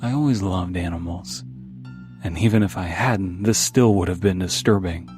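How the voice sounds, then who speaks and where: Low-voiced man narrating horror fiction over music. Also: normal speed, darkish tone. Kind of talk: scripted first-person creepypasta narration. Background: background music.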